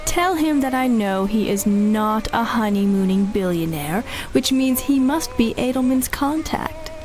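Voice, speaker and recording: A woman's voice: on the high side, expressive, a little slow, a little mellow, faint music behind. An actress playing a cool, menacing female agent, condescending and assured, in 1930s-style radio drama. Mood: cool menace.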